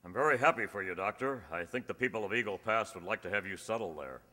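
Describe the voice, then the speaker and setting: A man's voice: fairly low pitch, expressive, fast talker. A man playing a heroic, courteous masked cowboy lawman in an amateur radio western. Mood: kindly, resolute. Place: on stage in a community hall. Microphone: stand microphone. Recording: echoey community hall, loud music behind.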